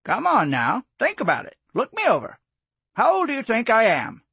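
A man doing a courtly, teasing old gentleman. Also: very high-pitched, wildly swooping pitch, moderate pace, gravelly, breathy edge, no echo, pitched above the performer's own voice, exaggerated voice. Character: older gentleman, courtly, teasing, good-humored. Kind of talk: audiobook character voice.

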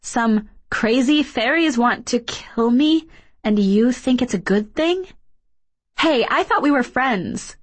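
A woman's voice: on the high side, lively intonation, takes it slowly, voice pushed up. A woman voicing a confused, indignant teenage girl in a storybook, blunt and questioning in casual modern speech. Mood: sarcastic, hurt.

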